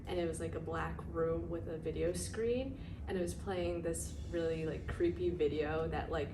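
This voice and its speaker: A woman's voice: deep voice, fairly even intonation, normal speed. A twenty-year-old woman in an interview, telling stories with many "like" fillers. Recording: echoey room with ambient noise.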